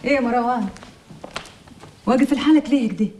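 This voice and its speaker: An actress playing a nosy, teasing aunt, probing in a TV drama. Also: female voice, fairly high pitch, quick pace, darkish tone, faint music behind, natural voice. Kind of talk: TV drama acting. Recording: clear background noise.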